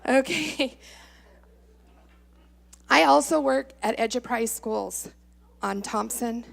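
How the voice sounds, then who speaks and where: A woman's voice: a little high, slow pace, gravelly. A middle-aged American woman giving informal testimony as a resident at a public meeting, exasperated and sarcastic, acting out exchanges as she goes.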